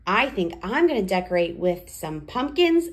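A woman hosting a read-aloud for toddlers, speaking in a deliberate, sing-song way with simple phrasing. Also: medium pitch, sing-song. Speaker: children's read-aloud host.

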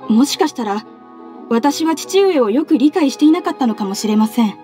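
A woman's voice: high-pitched, lively intonation, normal speed, dark tone, faint music behind. A woman voicing an earnest, respectful young civil servant in an anime-style Japanese game, polite and sincere. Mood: grateful.

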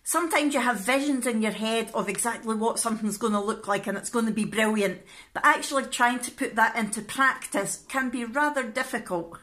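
Female vlogger, thirties, bright and animated, talking casually to a handheld camera. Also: on the high side, a little fast. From Scotland. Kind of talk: casual vlog chat to camera. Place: living room at home. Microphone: phone or camera at arm's length.

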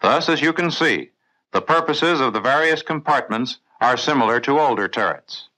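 A man with an American accent reading scripted mid-century instructional film narration for the navy in a clear, methodical, authoritative way. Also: medium pitch, animated, moderate pace, sharp tone, low-quality recording. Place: voice-over recorded in a studio.

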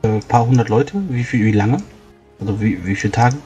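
A man's voice: on the low side, fairly even intonation, brisk, mellow, faint music behind, natural voice. A man playing an obliging, practical food supplier in a tabletop roleplay, reckoning aloud and explaining as he goes. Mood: questioning. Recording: faint background noise.

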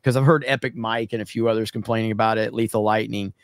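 A man in his thirties hosting a casual livestream, his voice worn hoarse from talking so much, telling stories with lots of laughs and restarts. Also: fairly low pitch, quick pace, dry recording. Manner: animated storyteller, laughs often, rambling restarts. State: losing his voice. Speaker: show host. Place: home streaming room with purple lights. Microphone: studio mic on arm, webcam.

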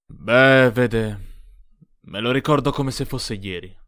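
An actor playing a self-important, brooding former school bully in jail, speaking theatrically with dramatic pauses in a comedy sketch. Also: male voice, mid-range voice, a bit bright. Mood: brooding, boastful. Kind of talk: mock-dramatic confession to an interviewer.

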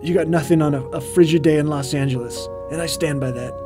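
Brief: man doing a hammy, self-important ghost host, slightly high voice, clear music under him. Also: steady tone, fast, natural voice. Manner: pompous, hammy, grows loud and ranting. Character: vain festive male ghost host, boastful. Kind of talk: comedic commentary track.

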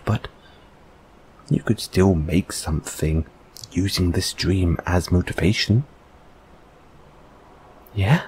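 Man playing a slow-talking, low-voiced gentle boyfriend. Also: a little hiss or hum, heavily acted, poor audio. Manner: soft, halting, reassuring, with tag questions. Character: gentle, encouraging, dreamy boyfriend comforting his partner. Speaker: audio roleplay performer. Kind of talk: intimate boyfriend comfort audio roleplay.

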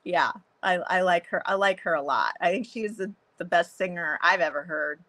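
A middle-aged woman with a deeper voice for a woman, chatting casually as an interview guest over a video call, with many fillers and restarts. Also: normal speed, a bit bright. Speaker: guest, photographer and songwriter. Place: home room, video call.

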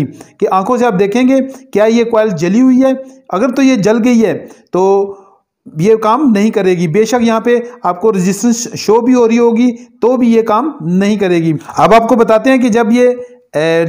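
A man with a fairly high voice talks through a hands-on electrical repair at a workbench. He explains each step in plain words and keeps telling the viewer to look. He stops now and then to correct himself. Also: a little mellow. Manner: explanatory, repeatedly saying "dekhen", with small slips and self-corrections. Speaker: repair tutorial host. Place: indoor workbench.

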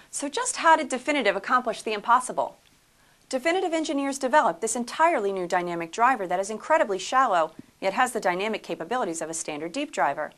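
A woman's voice: medium pitch, fairly bright tone. A woman reading scripted promotional narration for a product video in a polished, upbeat sales manner.

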